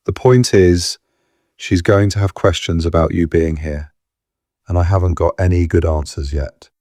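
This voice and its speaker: An actor playing an anxious, protective husband in an audio drama, muttering with urgency. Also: male voice, low-pitched, normal speed, dark tone, dry recording, studio quality. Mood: bitter, uneasy. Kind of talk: full-cast audio drama acting.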